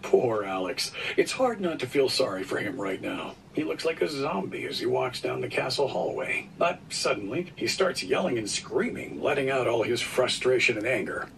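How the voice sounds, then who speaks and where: A man's voice: medium pitch, sing-song, moderate pace, creaky, few pauses, fairly bright tone, distant mic, low-quality recording. A man narrating a children's audio play in a dramatic storytelling style. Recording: played back from off, faint noise.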